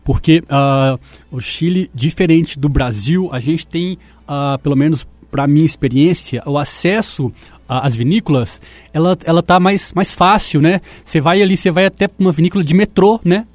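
A man's voice: on the high side, normal speed, darkish tone, no echo. A man hosting a radio interview, asking his guests leading questions with enthusiasm. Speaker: radio host.

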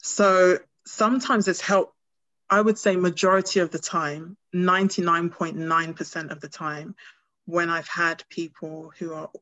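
A woman's voice: lowish voice, fairly even intonation, a little slow, fairly bright tone, no echo, dull audio. A woman who grew up in Britain with Ghanaian roots, a clinician talking casually and thoughtfully with many fillers and restarts. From Ghanaian family, grew up in the UK. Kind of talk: casual podcast conversation.

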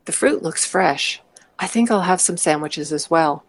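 Woman playing a lowish-voiced, scheming female accomplice. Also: measured pace, no echo. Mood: conspiratorial. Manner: conversational, conspiratorial. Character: scheming, bold, practical female partner in crime. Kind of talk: amateur audio drama dialogue.

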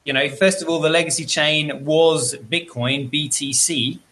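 A man's voice: fairly high pitch, fairly even intonation, measured pace, pushed and bright. A man appearing as a guest on a talk show, earnestly explaining with frequent fillers. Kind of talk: casual talk show discussion.

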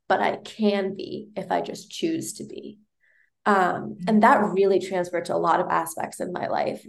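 A young woman from New York, a podcast guest telling her personal story in a bright, giggly way. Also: on the low side. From United States, New York.

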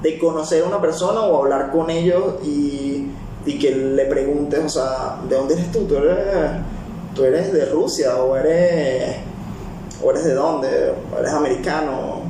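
Venezuelan male barista, casual rambler, distant mic with steady noise behind. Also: fairly high pitch, measured pace, heavy reverb. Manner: rambling, many fillers and restarts. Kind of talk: casual personal talk.

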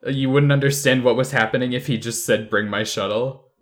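Casual male podcast co-host, mid-pitched, joking around with friends about films. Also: steady tone, a little fast.

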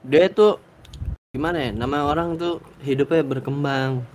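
Seorang pria muda, co-host podcast, bicara santai memberi pendapat dengan bahasa gaul. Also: male voice, mid-range voice, moderate pace, slight background noise. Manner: relaxed, opinionated, slangy banter. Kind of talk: casual group podcast chat.

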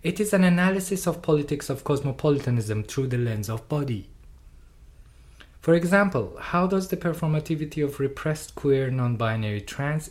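A person from Iran speaks English in a steady, thoughtful lecture performance. Their is Farsi, and the delivery stays earnest and personal, at a middle pitch. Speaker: artist and doctoral researcher.

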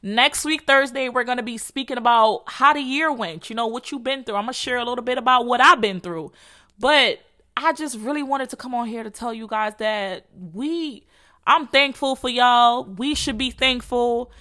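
A woman's voice: fairly high pitch, moderate pace, pushed and bright. A young Jamaican woman with a Jamaican accent, talking candidly and profanely to her followers as a podcast host, in loose slang full of rambling asides. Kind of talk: casual solo chat to followers.

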